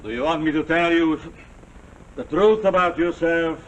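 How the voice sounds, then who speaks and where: An actor playing a domineering, boastful self-made general in a 1940s television suspense drama, with a gloating, halting delivery. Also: male voice, fairly high pitch, lightly acted. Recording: noisy, humming 1949 television soundtrack.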